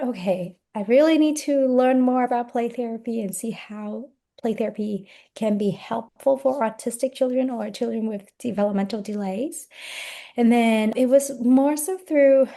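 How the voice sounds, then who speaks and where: Woman, fairly high voice, mellow tone, earnest and reflective, podcast guest. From Hong Kong. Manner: earnest, reflective, with frequent restarts. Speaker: guest play therapy expert. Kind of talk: podcast interview answers.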